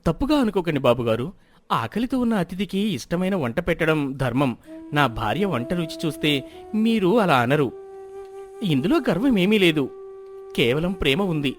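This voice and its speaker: A man's voice: fairly high pitch, sing-song, normal speed, faint music behind. An actor playing a humble, sincere village fisherman in a moral story cartoon, speaking respectfully.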